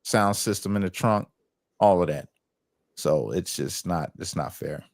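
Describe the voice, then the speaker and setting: Low-voiced American man, streetwise and colloquial. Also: unhurried, no echo, clean studio sound. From United States. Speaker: livestream host. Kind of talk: casual livestream political chat.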